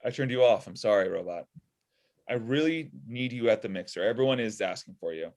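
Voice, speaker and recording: A man's voice: on the low side, lively intonation, brisk, darkish tone. Man playing a sardonic, frustrated inventor. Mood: apologetic, urgent. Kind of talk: remote table read of a comedy script. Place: small room at home. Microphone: video call.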